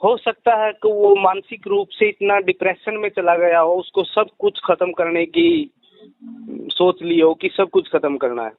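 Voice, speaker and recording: A man's voice: high voice, wildly swooping pitch, a little slow, vocal fry, no echo. Emphatic man on a dry, tinny phone line. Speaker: interviewed investigator and rationalist. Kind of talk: phone interview, explanatory talk.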